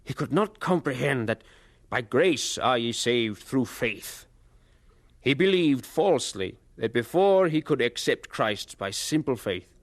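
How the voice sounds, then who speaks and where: A man narrating his own past in an audio drama. He is reflective and grave, with a middle pitch. The sound is dry and close. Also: dry recording. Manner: solemn, reflective. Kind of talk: dramatized first-person narration.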